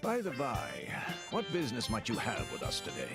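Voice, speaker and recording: A man's voice: medium pitch, wildly swooping pitch, fairly quick, loud music behind the voice. An actor playing a composed, courteous male companion in a video game cutscene, speaking in a formal, dry way. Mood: dryly polite.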